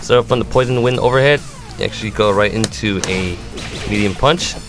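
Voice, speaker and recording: A man's voice: on the low side, fairly quick. A man giving step-by-step tutorial commentary on a fighting game, explaining as a gamer presenting a demo. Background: music.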